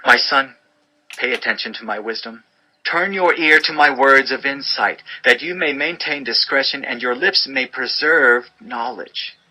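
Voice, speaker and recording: A man's voice: medium pitch, bright, forceful tone, low-quality recording. A man giving a solemn, fatherly dramatized reading of scripture as narrator, instructing as he goes.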